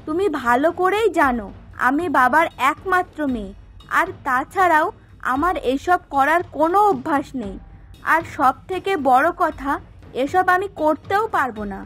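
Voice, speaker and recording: A woman's voice: sits high, expressive, normal speed, fairly bright tone, faint music behind. A woman voicing a spoiled young wife in a cartoon, sulky and demanding, with a complaining, sarcastic way of talking. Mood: nagging complaint. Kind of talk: Bengali cartoon voice acting.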